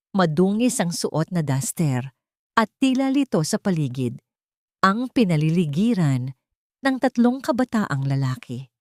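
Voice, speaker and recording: A woman narrating a dramatic story in a slow, measured, somber way, close to the mic. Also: medium pitch, darkish tone, no room sound.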